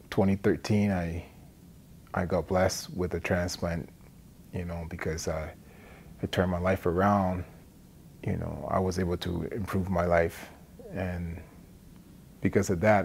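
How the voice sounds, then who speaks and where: A man from Liberia speaks. He shares a personal testimony as a recovery support worker, plainly and with halting phrasing. Faint noise sits behind his words. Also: deep voice, darkish tone. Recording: noticeable background noise.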